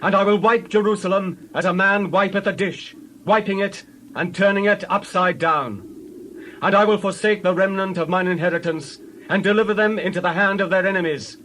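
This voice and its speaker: An actor playing a wrathful God solemnly declaiming judgment in a dramatized scripture recording. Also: male voice, high-pitched, fairly even intonation, normal speed, sharp tone, slight background noise. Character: wrathful male God pronouncing judgment on a nation.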